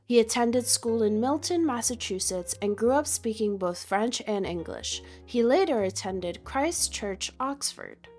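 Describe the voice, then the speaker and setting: Wry woman narrating a history video. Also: a little high. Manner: wry, punning asides. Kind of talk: scripted documentary narration. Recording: faint music underneath.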